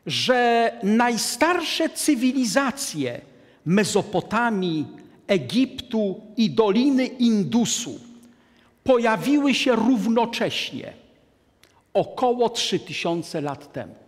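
A man in his sixties giving a deliberate, emphatic lecture to an audience from a lectern in a large hall. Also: high-pitched, big pitch swings. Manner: deliberate, emphatic, gestures at a map. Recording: long hall reverb.

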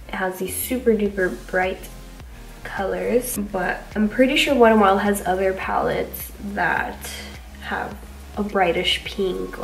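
A woman's voice: mid-range voice, creaky, recorded from a distance, poor audio. A young woman sounding congested, chatting casually through a makeup tutorial with lots of ums and likes, in a small bedroom. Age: young adult. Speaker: beauty vlogger.

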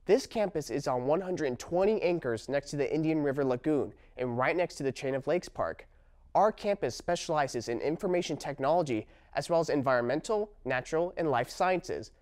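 A young man presents to camera in an indoor studio, reading a script with an upbeat energy. His voice sits a bit high for a man. A clip-on mic keeps him close. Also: animated, normal speed, darkish tone. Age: young adult. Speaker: student presenter. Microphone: lapel mic.

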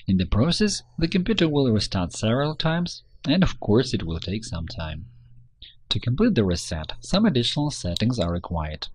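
A man reading a scripted instructional narration in a measured, step-by-step manner. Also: lowish voice, breathy edge. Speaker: tutorial narrator.

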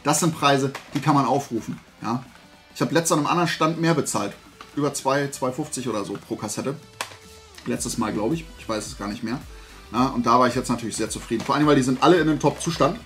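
A man's voice: mid-range voice, fast talker, a bit bright, soft background music. A man chats casually to camera as a vlog host, blunt, opinionated and colloquial. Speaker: host of a collecting haul vlog. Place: small indoor room lined with collectibles.

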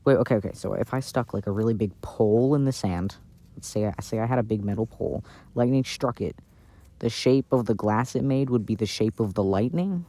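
A man doing a casual, intimate ASMR boyfriend roleplay in a soft-spoken, playful way, recorded outdoors. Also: lowish voice, fairly quick. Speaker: audio roleplay performer playing a boyfriend. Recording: outdoor recording with background noise. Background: outdoor ambience and noise.

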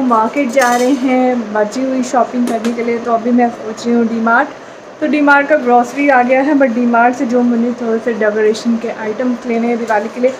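A woman's voice: on the high side, background noise. A woman from India chats casually to her viewers as a vlogger, mixing English words into her talk.

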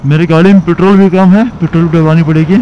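A young man, narrating casually to viewers while riding a motorbike through city streets, talking in halting bursts. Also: on the high side, steady tone. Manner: chatty, halting, addresses viewers as guys. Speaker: motovlogger. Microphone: helmet or bike-mounted action camera mic. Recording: muffled mic with traffic noise.

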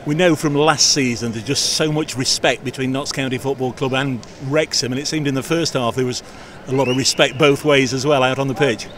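Brisk male club interviewer, quick-fire conversational questions, outdoors pitchside, faint traffic behind. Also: steady tone, a bit bright. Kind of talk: post-match interview questions.

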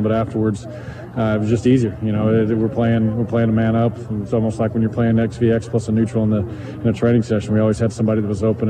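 A man's voice: fairly low pitch, poor audio. A middle-aged man, a soccer coach, giving a matter-of-fact, analytical post-game interview into a handheld microphone outdoors. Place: outdoors on a soccer field at night. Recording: clear outdoor field noise.

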